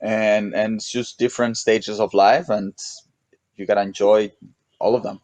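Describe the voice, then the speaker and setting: A man with a Mexican accent chatting casually as an interview guest, relaxed and rambling, with many restarts and "you know"s. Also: on the low side, normal speed, dry recording. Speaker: guest, pro paddle racer.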